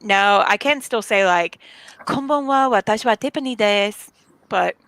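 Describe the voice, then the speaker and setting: Woman, bright voice, chatty, explains at length, laughs often, dry close sound. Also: medium pitch, moderate pace, dry recording. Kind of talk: casual chat.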